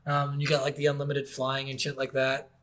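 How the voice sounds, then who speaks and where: A man's voice: medium pitch, completely flat delivery, brisk, a bit bright. A man co-hosting a gaming podcast, chatting casually in blunt, slangy language full of swearing. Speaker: podcast co-host.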